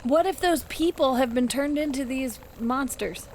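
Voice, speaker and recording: A woman's voice: high-pitched, measured pace, a little mellow, slight background noise. A woman playing a tabletop game, chatting casually and improvising as she goes.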